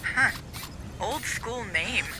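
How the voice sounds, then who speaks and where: A cocky marine driver for a sci-fi game. The voice is very high for a man and piercingly bright, with a flippant, breezy delivery. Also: expressive, extremely slow, a little hiss or hum, full character voice.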